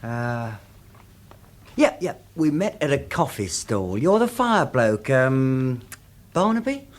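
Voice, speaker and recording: Radio drama casting: shifty working-class English chancer, colloquial, stumbling, extremely animated intonation. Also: male voice, mid-range voice, slow. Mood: wary. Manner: hesitant, evasive, colloquial. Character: shifty, cheeky working-class male suspect.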